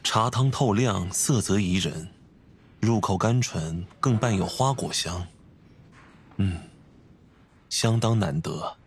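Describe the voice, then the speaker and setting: Low-voiced, composed scholarly gentleman. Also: a little hiss or hum. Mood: appreciative. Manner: formal, measured, courteous, instructive. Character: refined, composed, scholarly gentleman and tea connoisseur. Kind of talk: video game character acting.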